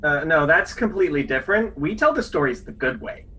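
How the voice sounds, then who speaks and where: A man playing a stubborn, pompous defender of old fairy tales in a radio play, indignant and raspy. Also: fairly high pitch, sing-song, brisk, sharp tone. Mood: stubborn, scornful. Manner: pompous, exasperated.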